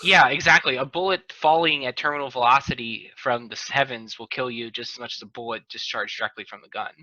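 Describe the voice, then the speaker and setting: A man with a very bright, forceful voice hosts a loose comedic chat on a video call. He is wry and playfully boastful, and the sound is close and dry but somewhat dull. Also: medium pitch, steady tone, quick pace, no echo. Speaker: podcast host; lawyer. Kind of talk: casual comedic panel chat.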